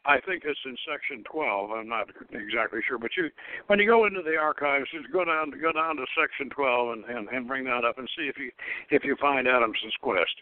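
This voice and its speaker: A thin, dry phone-line recording of an older male radio host talking unscripted. He rambles haltingly, repeating words and stalling as he searches for the next phrase, in a mid-pitched voice. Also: no room sound. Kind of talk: unscripted solo talk, recapping a story and announcing next episode.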